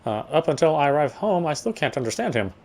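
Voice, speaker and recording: A man's voice: a little high, sing-song, fast talker, a little mellow. A man reading first-person visual novel text aloud as narration, stumbling now and then over words.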